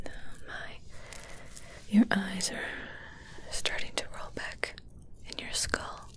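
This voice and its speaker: A woman playing an evil witch in an ASMR roleplay, sly and coolly amused, teasing and menacingly sweet in a breathy whisper. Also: slow, bright, forceful tone.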